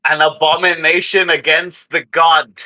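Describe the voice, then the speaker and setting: A man playing a tabletop roleplaying game, talking through his moves casually but with deliberate, somewhat formal phrasing. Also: on the high side, little pitch movement, bright, forceful tone, rough recording.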